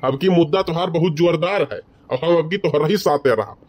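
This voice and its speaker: A man's voice: a little high, brisk, darkish tone, lightly acted. A man voicing a bickering, boastful village man in a comedy cartoon, with a rustic Awadhi accent from eastern Uttar Pradesh. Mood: scheming. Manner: colloquial rustic banter.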